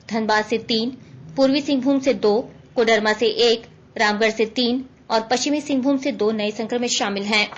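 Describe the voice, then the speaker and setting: A woman reads a formal radio news bulletin in a fairly high voice. The sound is slightly dull and band-limited, and the delivery is scripted throughout. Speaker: news reader.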